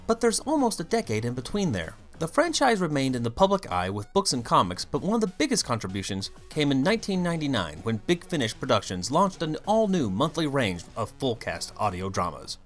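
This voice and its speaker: A narrator hosting a scripted fan video essay, explaining things emphatically and theatrically, with wry asides. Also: mid-range voice.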